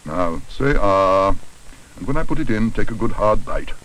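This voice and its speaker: A man's voice: lowish voice, very animated, normal speed, fairly bright tone, distant mic, low-quality recording. A man as a fussy, grudging storybook dentist. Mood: professional. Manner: hesitant hums and throat-clearing. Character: fussy, long-suffering male dentist, grudging and professional. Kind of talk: children's storybook character voice.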